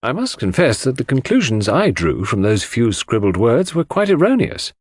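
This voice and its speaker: A male narrator reads a detective audiobook. His intonation is lively and varied, and the recording is close and dry. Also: mid-range voice, moderate pace, husky, dry recording.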